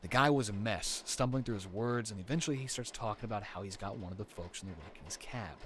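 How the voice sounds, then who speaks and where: A man playing an easygoing, affectionate young boyfriend in an intimate roleplay audio, telling ghost stories in a casual, slangy way with thinking pauses. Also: on the low side, fairly bright tone, close to an everyday voice. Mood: hushed suspense. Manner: casual, slangy, hesitant pauses, storytelling aloud. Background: ambient noise of a storm.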